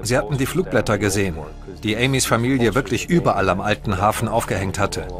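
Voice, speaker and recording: A man's voice: fairly low pitch, fast talker, over music, natural voice. A man voicing a police investigator recounting a case in a dubbed documentary interview.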